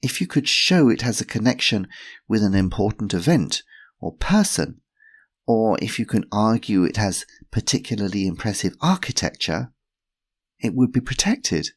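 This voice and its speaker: A man's voice: lowish voice, animated, husky, pitched above the performer's own voice. A man voicing a shy young woman who speaks hesitantly. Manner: hesitant, halting. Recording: roomy echo.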